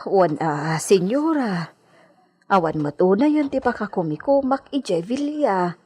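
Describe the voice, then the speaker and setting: A woman's voice: medium pitch, lively intonation, measured pace, a little mellow, husky. A woman with an Ilocano accent playing a deferential, chatty household maid in a radio drama.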